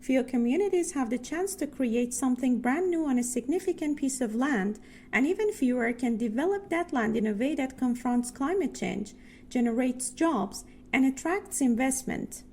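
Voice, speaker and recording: High-voiced woman reading aloud. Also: lively intonation, moderate pace, darkish tone, slight background noise. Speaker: reader of practice passages. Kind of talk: scripted read-aloud of exam passages.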